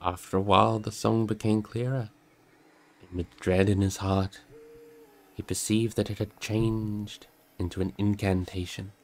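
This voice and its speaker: Creaky, low-voiced man hosting a reading stream. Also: flat delivery, a little slow, darkish tone. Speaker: livestream host reading a book aloud. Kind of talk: casual livestream chat and expressive storybook narration.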